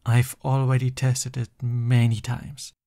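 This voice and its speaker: A man's voice: medium pitch, completely flat delivery, measured pace, dark tone, lightly acted. A man playing a young supervillain boyfriend in an ASMR roleplay, theatrical and boastful but easily flustered and affectionate. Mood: eager, boastful.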